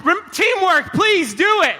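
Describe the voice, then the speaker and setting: Man doing a shrill, frantic school coach. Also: very animated, unhurried, bright, forceful tone, full character voice. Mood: frantic pleading. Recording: echoey hall, live show with music.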